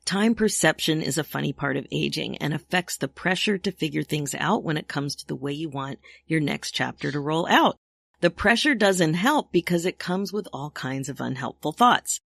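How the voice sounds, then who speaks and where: Woman, 60s, podcast coach; lively chatty delivery, dead-dry close mic. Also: sits low, normal speed, gravelly, no room sound. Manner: upbeat, chatty, laughs often. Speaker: podcast host and midlife coach. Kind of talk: solo podcast monologue.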